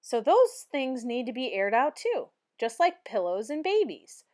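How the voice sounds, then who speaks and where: A woman's voice: on the high side, big pitch swings, unhurried, a little mellow. A woman voicing a cheerful, naive, earnest housemaid in a storybook, with a folksy, exclaiming manner. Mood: musing, puzzled.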